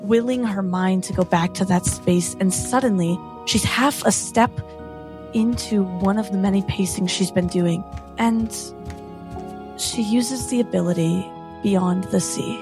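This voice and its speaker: A woman narrating her character's actions in a tabletop role-playing game, with deliberate, descriptive, dramatic storytelling. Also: medium pitch, music in the background.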